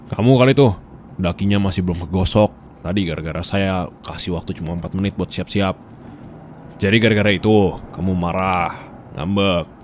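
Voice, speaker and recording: A man's voice: sits low, lightly acted. A man playing a bossy, nagging office boss in an ASMR roleplay, scolding and teasing bluntly in colloquial speech with a Jakarta Indonesian accent. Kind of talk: intimate ASMR roleplay acting. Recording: steady background ambience noise.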